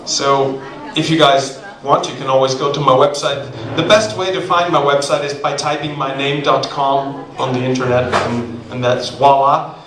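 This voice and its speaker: Joking Icelandic-accented singer on stage. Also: male voice, medium pitch, steady tone, moderate pace. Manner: chatty and joking with the crowd. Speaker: singer-songwriter performing live. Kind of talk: stage banter between songs. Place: small dark live venue. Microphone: far camera in the audience. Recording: roomy distant live video with loud guitar. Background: guitar music.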